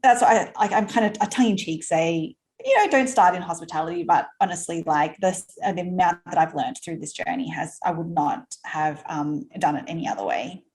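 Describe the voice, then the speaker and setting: A woman's voice: fairly low pitch, expressive, a little fast, few pauses, darkish tone. A woman in her thirties from Australia with an Australian accent, chatting as an interview guest, laughing often and using plenty of fillers and restarts. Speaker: guest entrepreneur. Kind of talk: casual conversational interview.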